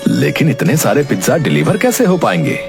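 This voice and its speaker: A man's voice: medium pitch, wildly swooping pitch, fairly quick, gravelly, over music. Confident young village man played by a man. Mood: reassuring. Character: confident, reassuring young village son, enterprising farmer. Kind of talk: Hindi cartoon dialogue.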